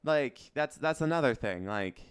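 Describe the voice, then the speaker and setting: A man's voice: mid-range voice, lively intonation, measured pace, clean tone, no room sound, clean studio sound. A man chatting casually on a podcast in loose, very informal phrasing. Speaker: podcast co-host. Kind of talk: casual chat about pop culture.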